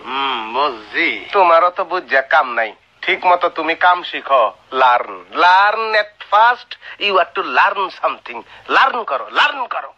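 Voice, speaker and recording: An actor playing a sceptical, bossy comic clinic worker in a radio drama, scoffing and lecturing in a rural Bangladeshi dialect. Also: male voice, a little high, animated, a little slow, pushed and bright. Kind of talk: Bengali radio drama acting. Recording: dull lo-fi old radio drama recording.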